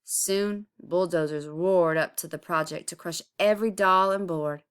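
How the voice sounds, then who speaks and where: A woman reading a children's picture book aloud expressively, with deliberate, dramatic emphasis. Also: on the low side, clear, resonant tone. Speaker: storybook reader.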